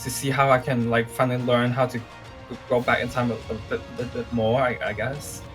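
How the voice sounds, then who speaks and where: A man's voice: medium pitch, flat delivery, a little fast, natural voice. A man playing a nervous, confused young guild mage in improvised game roleplay, hesitant and stammering over his words. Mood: unsure. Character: nervous, confused, unsure young time-magic guild member. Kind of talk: improvised Minecraft roleplay acting. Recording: background music under voices.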